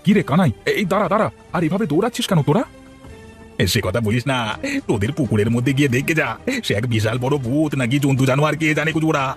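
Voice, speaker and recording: Man playing a fast-talking cartoon villager. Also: fairly high pitch, soft background music, natural voice. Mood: urgent. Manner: expressive, exclamatory. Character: village men: practical farmer husband, frightened neighbours. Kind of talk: cartoon voice acting.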